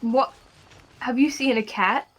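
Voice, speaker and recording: Slow-talking, teasing woman. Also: on the high side, low-quality recording. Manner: teasing, playful. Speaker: friend commentating on a game. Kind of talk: casual chat.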